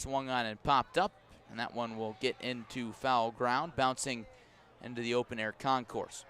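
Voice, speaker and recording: A man's voice: medium pitch, a bit bright. American man calling baseball on the radio. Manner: measured and descriptive, rising to emphatic calls on action. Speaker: baseball play-by-play radio announcer. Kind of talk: live sports commentary. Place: outdoor ballpark press box.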